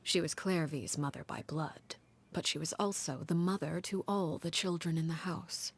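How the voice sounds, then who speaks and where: A cold, composed storyteller. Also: female voice, low-pitched, slightly rough. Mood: grave, calm. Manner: measured and grave. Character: cold, composed woman recounting a grim past. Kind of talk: game story cutscene dialogue.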